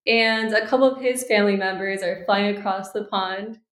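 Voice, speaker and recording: A woman's voice: mid-range voice, fairly even intonation, normal speed, fairly bright tone, roomy sound. An American woman in her twenties from Miami, speaking as a podcast guest in a cheerful, articulate, positive conversational way. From Miami, Florida, United States. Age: 20s. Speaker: podcast guest, bride-to-be and law student. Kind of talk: casual interview conversation.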